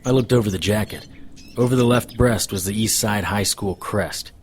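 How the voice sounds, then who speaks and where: A man's voice: on the low side, moderate pace, a little hiss or hum, natural voice. A man playing a world-weary occult investigator in an audio drama, dry and clipped, narrating noir style. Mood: sober narration.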